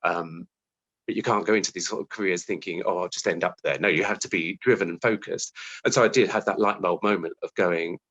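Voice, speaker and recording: A British man with a fairly low voice speaks as an interview guest. He is reflective and conversational, slipping into animated quotes of his own thoughts as he explains things. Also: brisk, dull audio. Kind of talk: conversational interview answer, recounting own career.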